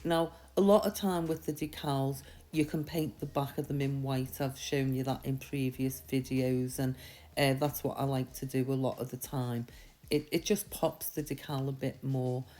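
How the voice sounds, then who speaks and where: Woman, low mellow voice, slightly croaky with a sore throat, informal chatty tutorial narration. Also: steady tone, moderate pace. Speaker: nail art tutorial host. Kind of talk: casual step-by-step tutorial voice-over.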